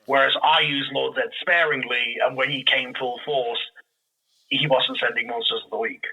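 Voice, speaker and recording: A man with a sharply bright, forward voice and a middling pitch. He chats casually and with enthusiasm as a guest, animatedly slipping into imagined reactions while he explains his points. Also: steady tone, normal speed, slightly rough, poor audio. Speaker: guest, audio drama creator.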